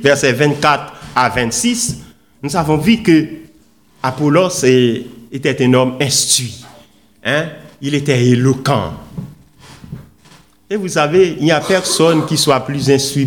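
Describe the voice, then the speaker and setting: A man preaching a sermon in an oratorical, emphatic manner, with deliberate pauses and repeated phrases. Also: mid-range voice, animated. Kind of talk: sermon with scripture readings and rhetorical questions.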